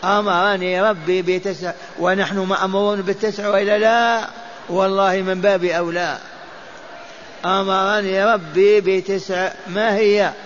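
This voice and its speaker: Older male mosque teacher, repeats and chants lines, flat high delivery, faint hiss. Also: normal speed. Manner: chants and repeats texts for memorizing. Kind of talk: mosque lesson on hadith. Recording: dull lo-fi lecture recording.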